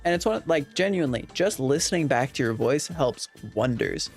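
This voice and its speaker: A man's voice: on the high side, expressive, normal speed, vocal fry. A man hosting a livestream, chatting casually and rambling as he responds to viewers. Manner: rambling, conversational. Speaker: livestream host. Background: soft background music.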